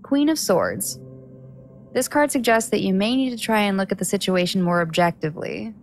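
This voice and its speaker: A woman's voice: mid-range voice, lively intonation, moderate pace, faint music behind, natural voice. A woman playing a wry, reassuring tarot card reader in an audio drama. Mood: wry, explaining.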